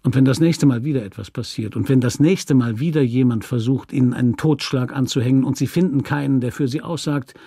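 A man voicing a stern, measured lawyer in a German radio story, deliberate and firm, with quiet menace. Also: medium pitch, creaky, husky, no echo, clean studio sound. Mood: quietly threatening.

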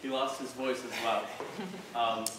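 A man's voice: medium pitch, little pitch movement, a little slow. Far-off man asking from an echoey hall. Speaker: audience member asking a question. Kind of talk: audience question. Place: large lecture hall, off-mic in the audience. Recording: distant, very echoey hall with noise.

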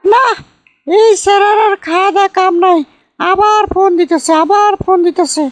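A woman's voice: very high voice, fairly even intonation, moderate pace, very noisy. A woman voicing a callous, irritable cartoon village mother with a curt, scolding Bogura dialect from northern Bangladesh. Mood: irritated. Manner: scolding, curt rural delivery. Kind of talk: amateur comedy cartoon dubbing.

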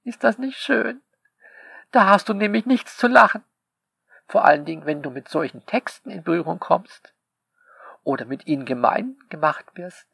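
A man's voice: high-pitched, lively intonation, normal speed, creaky, a bit bright, husky, dry recording. A man, the author, speaking a sarcastic, polemical monologue to his listeners and peppering it with rhetorical questions. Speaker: author reading commentary on his novel.

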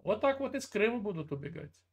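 A man's voice: sits high, very animated, fairly quick, husky. An older man hosting a video chat stream, lecturing and scolding the person he talks to, often interrupting, speaking over earphones with a cable mic. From Russia. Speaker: video chat channel host. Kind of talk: heated video chat argument. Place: indoor room, video call.

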